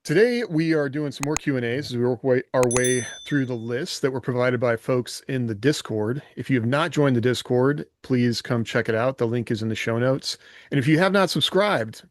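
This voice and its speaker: A man's voice: mid-range voice, moderate pace. A man hosting a podcast in a casual, chatty style. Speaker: podcast host. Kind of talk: casual chat.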